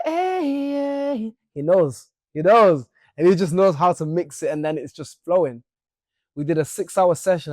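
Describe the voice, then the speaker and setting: A young man from Leeds with a Leeds accent, a musician guest chatting in a playful, animated interview. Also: a little high, dry recording, clean studio sound. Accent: Leeds English. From Leeds, England. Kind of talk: casual interview chat.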